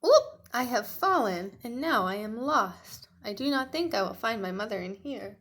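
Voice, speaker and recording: A woman's voice: a little high, animated, normal speed, fairly bright tone. Woman voicing an earnest little boy T. rex. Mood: disgusted, lost. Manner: simple, emphatic, declarative storybook speech. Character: small earnest young male T. rex, sad but determined.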